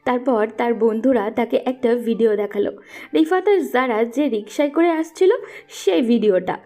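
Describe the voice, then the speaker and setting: High-voiced woman narrating a story. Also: moderate pace, dark tone, faint music behind. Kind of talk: scripted audio story narration.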